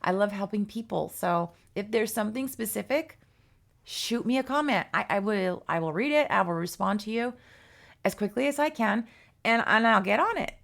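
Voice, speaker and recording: A woman giving a casual art tutorial, chatty and rambling with many ums and restarts, her voice hoarse and worn. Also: medium pitch, lively intonation, normal speed, a bit bright. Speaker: art teacher.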